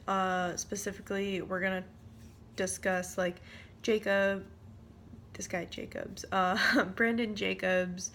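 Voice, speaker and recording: A woman's voice: medium pitch, fairly bright tone. A young adult woman giving casual vlog commentary, hesitant and informal, often trailing off mid-thought. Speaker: vlogger. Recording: noticeable background noise.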